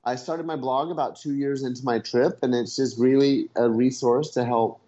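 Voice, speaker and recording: A man's voice: medium pitch, steady tone, moderate pace, mellow, lo-fi sound. A man on a remote call as a podcast guest who blogs about food, chatting casually and laughing at himself. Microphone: Skype call.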